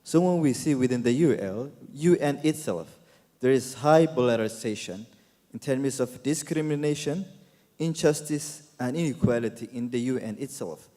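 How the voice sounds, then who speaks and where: A man from Somalia with a Somali accent puts a formal question from the audience, emphatic and deliberate, in short repeated phrases. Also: medium pitch, animated, warm tone. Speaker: audience member asking a question. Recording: echoey hall with long reverb.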